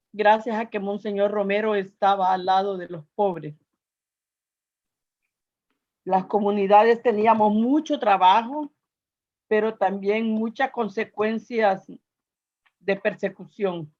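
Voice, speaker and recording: Older salvadoran lady telling her life story to people, slow and halting, earnest, kinda lo-fi sound. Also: mid-range voice, fairly even intonation, darkish tone. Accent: Salvadoran Spanish. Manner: earnest, halting, with searching pauses and repetitions. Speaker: speaker giving personal testimony. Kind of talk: personal testimony to an audience.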